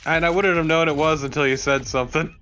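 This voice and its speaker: A man's voice: on the high side, steady tone, fast, pushed and bright, slight background noise. A man co-commentating on a let's play in casual banter, wry and often swearing.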